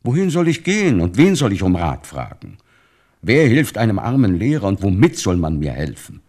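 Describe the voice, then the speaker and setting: A man's voice: on the low side, wildly swooping pitch, moderate pace, a little mellow, heavily acted. An actor playing a poor, pious, resigned teacher and father in a German radio play, lamenting with fatalism. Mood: indignant, resigned.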